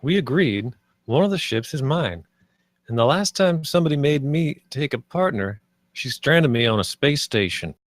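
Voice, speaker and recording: A man's voice: mid-range voice, expressive, normal speed, dry recording, close to an everyday voice. A man playing a stubborn, indignant space pilot at a script table read, speaking insistently.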